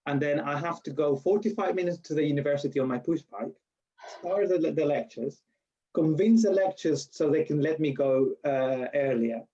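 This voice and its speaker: A man's voice: fairly high pitch, normal speed, mellow, lo-fi sound. A man from Spain with a strong Spanish accent, chatting in an interview and telling stories with restarts and laughs. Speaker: lecturer being interviewed. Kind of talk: casual interview.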